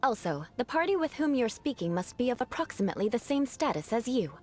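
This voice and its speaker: A haughty young aristocrat for a video game. The speech is formal and precise, rather high in pitch with expressive movement. Music plays softly behind the proud, theatrical delivery. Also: female voice, quick pace. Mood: instructive.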